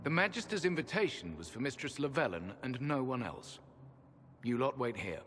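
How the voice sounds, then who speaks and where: An actor playing a haughty castle attendant in a game cutscene, formal and officious. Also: male voice, medium pitch, sing-song, moderate pace, a little hiss or hum. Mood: dismissive. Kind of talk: dramatic game cutscene acting. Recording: big echoing hall, loud music.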